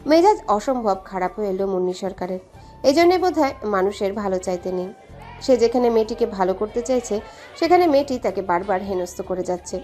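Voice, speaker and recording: Fast-paced woman narrating a story. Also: mid-range voice, lively intonation, mellow, faint music behind. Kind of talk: scripted dramatic story narration.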